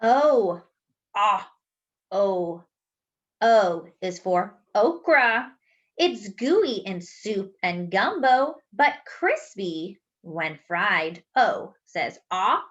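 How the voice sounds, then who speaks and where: Slow, very animated woman reading to children. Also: medium pitch, lo-fi sound. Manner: exaggerated, deliberate enunciation with playful asides to children. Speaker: children's read-aloud host, teacher-like. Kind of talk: animated picture-book read-aloud for young children.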